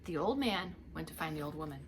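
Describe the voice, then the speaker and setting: A woman's voice: deep voice, lively intonation, fast talker, a little hiss or hum. A woman reading a story aloud to young children like a preschool teacher, theatrically drawing out the action words and talking to her listeners.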